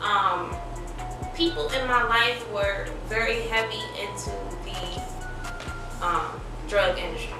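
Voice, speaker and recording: A woman from Ohio, a rapper and radio personality with a high, girlish baby voice, chatting casually in streetwise slang with lots of fillers. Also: takes it slowly, very echoey, far from the mic, over music, rough recording. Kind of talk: casual interview chat.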